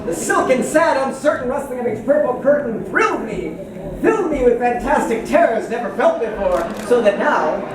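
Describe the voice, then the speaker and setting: A man's voice: very high-pitched, animated. Man acting a haunted gothic poet, echoing hall. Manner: dramatic, halting with repeated words. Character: haunted, melancholy 19th-century gentleman poet in a gothic tale. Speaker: performer reciting poetry. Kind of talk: theatrical live poetry recitation. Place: large indoor ballroom with audience. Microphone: distant camera recording. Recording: echoey hall from far off, audience noise. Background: audience crowd murmur.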